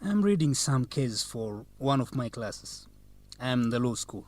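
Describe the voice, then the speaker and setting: Confident young law student, medium pitch, polite but teasing, radio drama style. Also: male voice, very animated, measured pace, a little mellow. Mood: proud, playful. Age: young adult. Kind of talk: educational radio drama acting.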